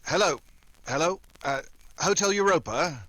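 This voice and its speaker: An actor playing a wry, tough American secret agent in an old-time style radio drama. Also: male voice, on the high side, animated, unhurried, fairly bright tone, a little hiss or hum, rough recording. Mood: alarmed, urgent. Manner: wry, sardonic.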